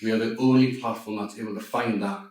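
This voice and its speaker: A middle-aged man with a Geordie accent, pitching his startup on stage and fielding questions in a confident, emphatic way. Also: steady tone, fast talker, a little mellow. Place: large event room. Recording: echoey hall, distant mic.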